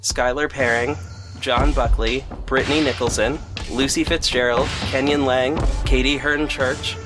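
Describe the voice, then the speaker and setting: Male audio drama narrator, scripted first-person storytelling, middle pitch, music bed clearly present. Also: steady tone, measured pace. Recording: music behind the voice.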